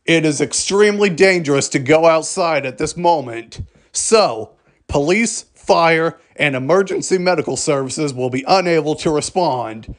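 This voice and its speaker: A man reading a scripted emergency alert broadcast in a formal, measured manner. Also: a little high, animated.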